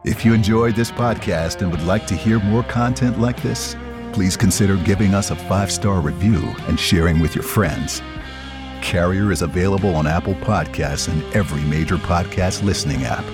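A man's voice: low-pitched, moderate pace. A man reading scripted credits as the announcer of an audio production. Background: plucked guitar music.

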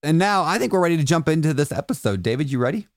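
A man's voice: fairly high pitch, animated, no echo, studio quality. A man hosting a podcast interview in a casual, joking, chatty way. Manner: joking, chatty, quick to laugh. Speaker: podcast host.

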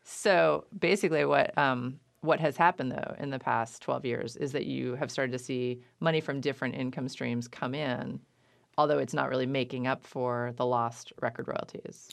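A woman hosting a radio show about music, reading a scripted intro and then asking interview questions in a measured, conversational way. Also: very deep voice, steady tone, vocal fry, no echo. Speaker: radio show host and record label owner.

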